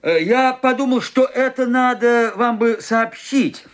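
Fussy old doctor, very high voice. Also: male voice, a little slow. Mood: earnest, consoling. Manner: hesitant, repeating himself, consoling. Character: fussy, kindly older male doctor, well-meaning. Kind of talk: radio drama acting.

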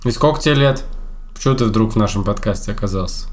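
A man's voice: fairly low pitch, fast talker. A man in his late twenties co-hosting a podcast in casual, conversational talk with friends. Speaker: podcast co-host, teacher and young historian. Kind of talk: casual group podcast chat.

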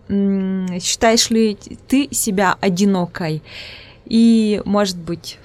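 A woman speaking with an Udmurt accent hosts a relaxed podcast conversation. She talks at a middling pitch, gently circling her phrasing and restarting as she feels her way toward each question. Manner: hesitant, searching for words with many restarts. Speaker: podcast host. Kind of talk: casual podcast interview.

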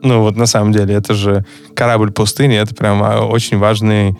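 A man's voice: fairly low pitch, little pitch movement, fairly quick, darkish tone, soft background music. A man speaking Russian as a second language, co-hosting a casual podcast chat, joking and hesitant with many fillers and restarts. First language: not Russian. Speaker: podcast co-host, psychologist.

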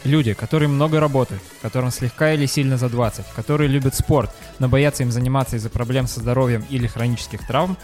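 A man in his twenties reading a scripted promo for a podcast in a brisk, upbeat way. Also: mid-range voice, fairly even intonation, a little mellow, faint music behind. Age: 20s.

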